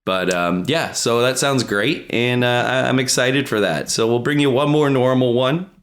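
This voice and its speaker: A man's voice: mid-range voice, normal speed. A man co-hosting a podcast in casual, sweary conversation. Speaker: podcast co-host. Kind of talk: casual chat.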